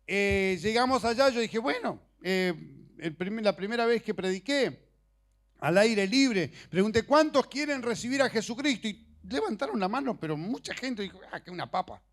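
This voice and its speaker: High-pitched man preaching informally. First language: Portuguese. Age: middle-aged. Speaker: preacher and missionary. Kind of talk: informal sermon with personal stories.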